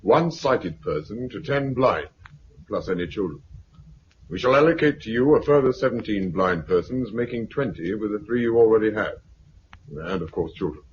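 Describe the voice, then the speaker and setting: A muffled, hissy 1950s radio drama recording of a man playing an officious British regional commander. His low voice moves freely up and down, brisk and condescending, with smooth menace. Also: animated, close to an everyday voice. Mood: coolly authoritative.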